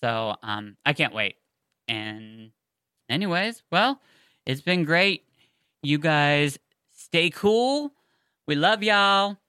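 Female co-host, bright crisp voice, close dry sound, chatty and effusive fan-podcast delivery. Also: low voice, lively intonation, takes it slowly, long pauses, no room sound. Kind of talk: casual fan podcast chat.

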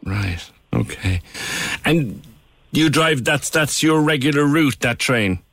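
A man's voice: mid-range voice, expressive, takes it slowly, gravelly, a bit bright, dry recording. A man hosting a casual radio talk show interview, chatty, laughing easily and repeating words as he goes. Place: radio studio. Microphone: studio microphone.

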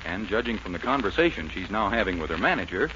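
Male 1940s show announcer: animated, polished, emphatic, on a dull vintage broadcast. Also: lowish voice, fast, noisy background. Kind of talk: 1940s radio announcing, scripted. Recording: muffled 1940s radio recording.

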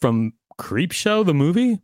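Guy podcast cohost, deadpan jokes, pitch moves around a lot, casual. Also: a little slow, no room sound, studio quality. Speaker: podcast co-host. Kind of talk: casual commentary.

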